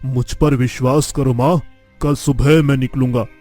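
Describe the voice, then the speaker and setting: A man's voice: mid-range voice, steady tone, normal speed, a little mellow, faint music behind, natural voice. A young man playing a determined, boastful eldest son from a village in a cartoon story, with an earnest, declamatory delivery. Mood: determined, reassuring. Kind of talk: dramatic Hindi cartoon story dialogue.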